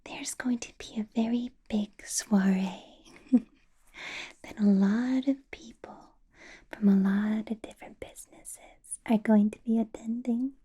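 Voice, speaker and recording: A woman playing a possessive, doting vampire boss in an ASMR roleplay, in a breathy whisper with a deliberate, coaxing, playfully menacing manner. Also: fairly high pitch, steady tone, mellow. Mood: coaxing, adoring. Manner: slow, deliberate, coaxing, teasing.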